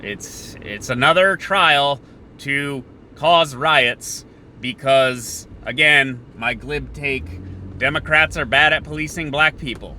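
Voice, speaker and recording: A man hosting a podcast, thinking out loud in a sardonic, opinionated political monologue that moves between halting pauses and bursts. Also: medium pitch, slight background noise. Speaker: podcast host.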